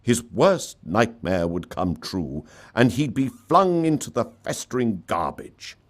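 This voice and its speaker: A man reading a story aloud in an expressive, theatrical storybook style. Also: medium pitch, takes it slowly. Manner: theatrical, dramatic delivery. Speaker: story reader.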